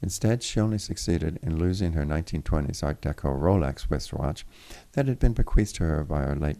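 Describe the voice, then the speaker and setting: A man reading literary fiction aloud in a formal, deliberate way, with the occasional stumble. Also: rumbling low, dark tone. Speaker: reader of a story chapter. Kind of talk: literary audiobook narration.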